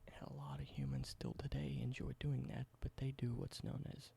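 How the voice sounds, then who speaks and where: A man doing a whispered ASMR roleplay, explaining things slowly and correcting himself now and then. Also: mid-range voice, very animated, vocal fry, slightly rough. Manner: soft whisper, slow explanations with small self-corrections. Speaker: ASMR creator.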